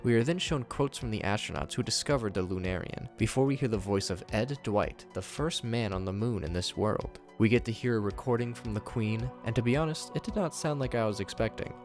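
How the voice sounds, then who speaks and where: A man's voice: on the low side, a little fast, hardly any pauses, darkish tone, soft background music. A man hosting a video recap, narrating scripted commentary on horror episodes.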